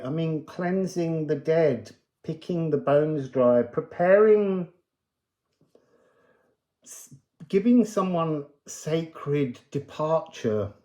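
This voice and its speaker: Male card reader, unhurried and soft-edged in tone, improvising mystical thoughts with a digressive, musing delivery. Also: mid-range voice. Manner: musing, digressive, breaks into chanted phrases. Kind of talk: unscripted spiritual reading to viewers.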